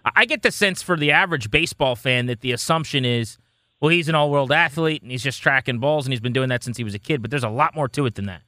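Male sports radio co-host, rapid and forceful, casual interviewing, dry close mic. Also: mid-range voice, no room sound.

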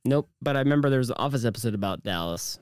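A man with a naturally deep voice, co-hosting a casual podcast chat, jokey and crude with lots of halting fillers. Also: animated, darkish tone, clean tone, dry recording, clean studio sound. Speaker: podcast co-host.

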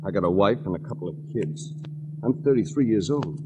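A man's voice: fairly low pitch, lively intonation, fairly quick, close to an everyday voice. An actor playing a bitter, resigned secretary in his thirties in a 1940s radio drama, speaking sardonically on a noisy old recording. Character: bitter, put-upon male secretary, thirty-three, resigned.

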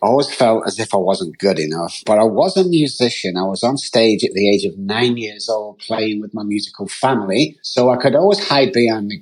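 A man's voice: on the low side, expressive, a little fast, hardly any pauses, slightly rough, low-quality recording. A middle-aged man with a Manchester accent, a podcast guest telling his life story in an open, rambling, earnest way. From England.

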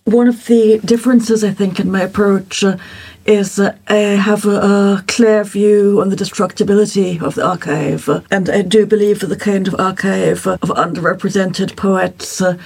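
A poet speaking as a panel guest in a measured, deliberate way, pausing often. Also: mid-range voice, steady tone, gravelly, a little mellow, husky. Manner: measured, deliberate, many pauses with "uh". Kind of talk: panel discussion.

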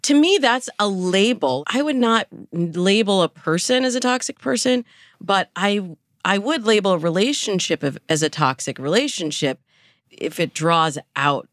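A woman's voice: medium pitch, lively intonation, moderate pace, no room sound, clean studio sound. A woman speaking as a guest dating coach in a podcast interview, explaining advice and acting out imagined inner thoughts as examples.

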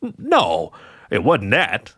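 A man's voice: medium pitch, huge pitch swings, slow pace, a bit bright, breathy edge, heavily acted. A man voicing a cheeky, flirty male fox who gets defensive and stammers playfully in an audio story. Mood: embarrassed. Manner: stammering, hesitant when embarrassed, playful.

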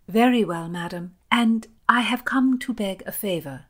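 A woman plays a determined English gentlewoman in a historical audiobook. Her voice is raised and breathy, courteous yet urgent. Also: mid-range voice, moderate pace, pitched above the performer's own voice, natural voice. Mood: polite pleading.

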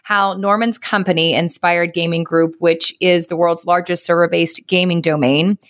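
A woman hosting a business podcast, upbeat and lively, with casual, informal phrasing. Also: lowish voice, normal speed, no echo, studio quality. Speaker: podcast host. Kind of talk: podcast intro and interview.

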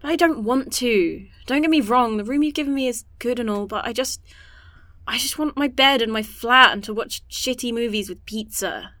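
A woman's voice: fairly high pitch, normal speed, fairly bright tone, dry recording, close to an everyday voice. An actress playing a homesick, restless young woman in a naturalistic audio drama. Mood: frustrated, homesick.

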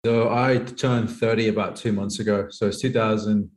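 A man's voice: on the low side, fairly even intonation, moderate pace. A man in his thirties from the UK, a poker player being interviewed who chats casually and rambles with lots of "like". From United Kingdom. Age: 30s. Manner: rambling, heavy use of "like". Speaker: professional poker player guest. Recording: echoey room.

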